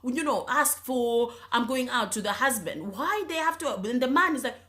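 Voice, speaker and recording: A woman from Zanzibar tells her own story, hesitating often and restarting her sentences. She keeps clearing her throat and coughing between phrases. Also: fairly high pitch, animated, sharp tone. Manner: halting, many fillers and restarts, frequent throat clearing. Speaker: speaker sharing her faith story. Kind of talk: personal testimony.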